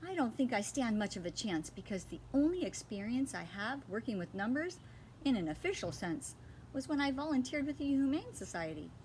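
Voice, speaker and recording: A woman's voice: on the high side, big pitch swings, fairly quick, a little mellow, slight background noise, voice pushed up, poor audio. A woman reading aloud the part of an eager, scatterbrained mother in a children's story, bubbly and emphatic. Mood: eager, cheerful.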